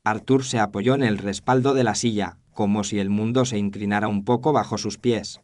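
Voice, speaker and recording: A man with a somewhat low voice narrates a period detective tale. His delivery is scripted, literary and measured, told as a first-person account. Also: steady tone, a little mellow.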